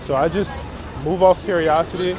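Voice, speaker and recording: A man's voice: sits high, fairly even intonation, normal speed, music in the background, a crowd in the background. A man, giving casual, reflective and slangy interview answers as a photographer. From Brooklyn, New York. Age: 20s. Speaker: street photographer being interviewed. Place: outdoors on a city street. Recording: noisy outdoor city street with traffic. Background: urban street ambience, vehicles.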